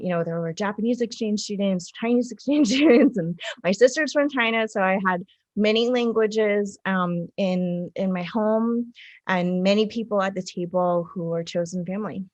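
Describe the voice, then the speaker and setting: A woman from the United States speaks as an interview guest. Her delivery is conversational and reflective, built in short, halting phrases as she works out each thought. Her pitch sits in an ordinary middle range for a woman. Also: lo-fi sound. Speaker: interview guest, city councilwoman.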